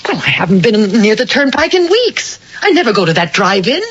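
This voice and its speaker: Anxious insistent housewife, animated intonation, radio drama, muffled hissy old recording. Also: female voice, on the high side, brisk, breathy edge. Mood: earnest pleading. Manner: hesitant starts, earnest pleading.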